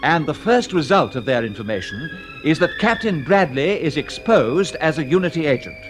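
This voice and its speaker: Animated old radio serial announcer. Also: male voice, on the high side, normal speed. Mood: dramatic, earnest. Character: serial announcer recapping a space adventure. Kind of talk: 1950s radio drama narration. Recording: old radio recording, music behind.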